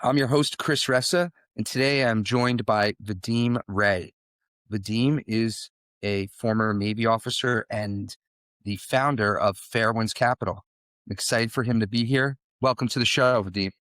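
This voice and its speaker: A man's voice: fairly low pitch, unhurried, a bit bright, dry recording. A middle-aged man hosting a podcast in a conversational interview style. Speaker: podcast host.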